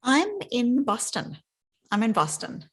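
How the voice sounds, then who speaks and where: South African woman, interview guest, thoughtful and earnest, unhurried reflection. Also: a little high, wildly swooping pitch, slightly rough. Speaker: guest psychologist. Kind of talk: interview conversation.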